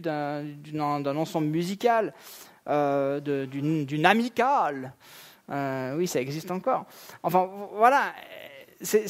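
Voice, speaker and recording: A high-voiced man in his thirties delivers a church sermon. He keeps it conversational, mixing fillers and relaxed asides into his preaching. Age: 30s. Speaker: pastor. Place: church sanctuary.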